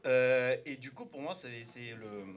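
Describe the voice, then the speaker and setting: Man from Turkey, native Turkish; unscripted debate remark, halting, filler-heavy, mid-range voice. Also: medium pitch, slight background noise. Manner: hesitant, lots of fillers and restarts. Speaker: audience member speaking up.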